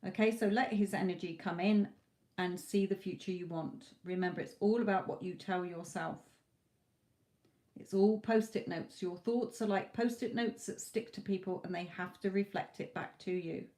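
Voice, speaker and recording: A woman giving live tarot readings to viewers, talking reassuringly, her voice going a little hoarse from hours of talking. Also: medium pitch, fairly even intonation, normal speed, mellow. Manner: reassuring, calls viewers "my lovely". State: losing her voice, a bit hoarse. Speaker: tarot reader.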